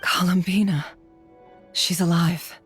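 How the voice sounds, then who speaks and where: A cool, self-assured female intel broker in a fantasy game. Her voice is on the low side, and she speaks in a measured, businesslike way. Music plays clearly behind her. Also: fairly even intonation. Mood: intent. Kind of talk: video game cutscene dialogue.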